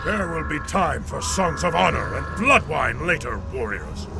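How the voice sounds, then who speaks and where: An actor playing a legendary alien warrior emperor in a video game, proud and vengeful, speaking in a solemn, grandly declamatory way. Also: male voice, fairly high pitch, expressive, measured pace, vocal fry. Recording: music behind the voice.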